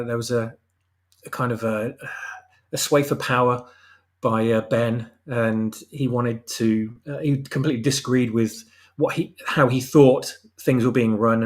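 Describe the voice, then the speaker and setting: A man discussing his own story as a guest writer, speaking casually and hesitantly as he searches for words. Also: medium pitch. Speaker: guest writer of a fan audio drama.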